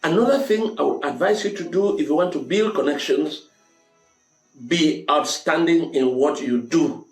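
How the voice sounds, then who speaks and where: A man's voice: on the high side, normal speed. A man with a Cameroonian accent hosting a motivational advice talk, speaking directly to listeners in an emphatic, avuncular way and repeating key phrases. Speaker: storytelling show host giving advice. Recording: echoey room with music underneath. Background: music.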